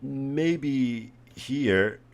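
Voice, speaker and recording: A man born in Portugal whose first language is Portuguese, casually commenting on his own chess game as he streams it, thinking aloud and trailing off into quiet asides. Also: mid-range voice, drawn-out words.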